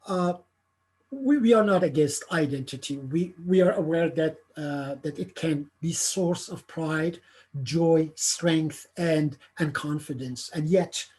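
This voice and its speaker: A middle-aged man gives an earnest, carefully prepared lecture, pausing often on fillers and repeated words. His voice sits a little high for a man and has a husky, slightly breathy edge. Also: mellow. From Iran. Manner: halting, many filler sounds and restarts, earnest. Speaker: theatre artistic director giving a lecture.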